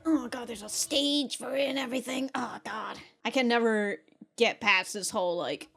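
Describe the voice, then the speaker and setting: A woman with a high-pitched voice reacts off the cuff as host of a reaction video. She talks in a casual, excitable way, swears freely and is quick to laugh. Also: creaky, soft background music. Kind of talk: casual live reaction commentary.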